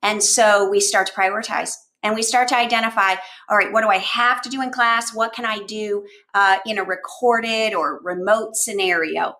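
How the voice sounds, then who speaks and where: A woman giving conversational instructional advice to teachers in an emphatic, animated manner, often posing rhetorical questions. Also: medium pitch, moderate pace, few pauses. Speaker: education consultant answering teachers' questions. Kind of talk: conversational instructional talk to camera.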